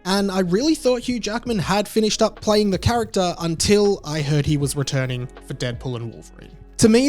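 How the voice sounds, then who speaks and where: A man delivering a conversational, opinionated video essay as a film commentary host. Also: fairly high pitch.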